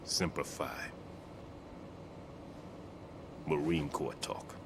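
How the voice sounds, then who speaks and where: Very deep, grave bomb expert. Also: male voice, steady tone. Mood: suspicious, measured. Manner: measured, grave, instructive. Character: weary, grave older bomb disposal expert with injured leg. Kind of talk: dramatic video game cutscene acting. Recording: clear noise behind the voice.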